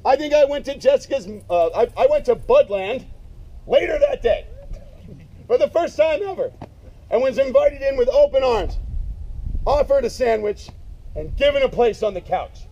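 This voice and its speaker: A man speaking loudly and emphatically in a casual way, giving a eulogy at a memorial gathering. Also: extremely high pitch, animated, moderate pace, pauses often. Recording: dull lo-fi sound, faint noise.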